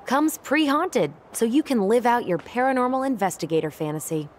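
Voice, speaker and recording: A woman's voice: fairly high pitch, expressive, moderate pace, slight background noise, lightly acted. An actress playing a dry, guarded, teasing young American woman in naturalistic video game dialogue. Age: young adult.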